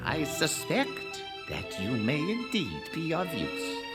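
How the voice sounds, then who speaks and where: A man's voice: on the high side, huge pitch swings, slow pace, over loud music, heavily acted. A man playing a serene, stately ruler in a video game, with formal, solemn delivery.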